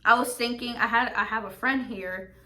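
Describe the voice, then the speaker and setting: A woman's voice: a little high, steady tone, normal speed, pushed and bright. A young woman from Mexico, a podcast host, telling a long personal story in casual chat, full of fillers and restarts. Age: young adult. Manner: rambling, filler-heavy storytelling.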